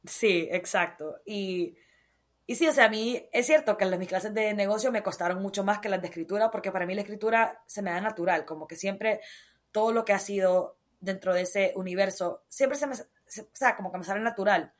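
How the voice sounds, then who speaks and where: A young woman from Honduras with a Honduran accent, a guest author telling stories in an animated, casual interview. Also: mid-range voice, fairly quick. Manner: animated, storytelling with many fillers.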